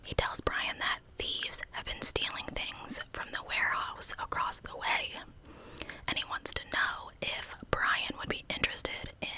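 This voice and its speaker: A woman telling a story in a whispered ASMR style, informal and conversational with casual asides. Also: low-quality recording. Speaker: true crime ASMR host.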